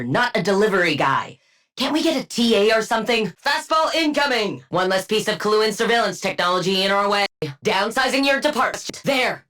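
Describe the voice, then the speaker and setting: Woman playing brainy supervillain scientist, animated pitch. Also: natural voice. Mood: dry, sardonic, then brisk, commanding. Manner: precise, nerdy, academic phrasing, sardonic. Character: brainy woman scientist supervillain in freeze suit, dry, exacting. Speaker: video game voice actress. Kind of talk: video game combat barks and banter.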